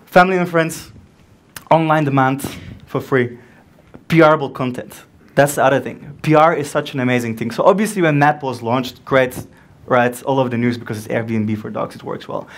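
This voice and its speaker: A young Belgian man with a French accent, giving an animated startup conference talk on stage and acting out quick dialogues. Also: mid-range voice. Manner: animated, acts out dialogue in quick back-and-forth. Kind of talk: energetic keynote storytelling. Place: dark event room with a stage and audience.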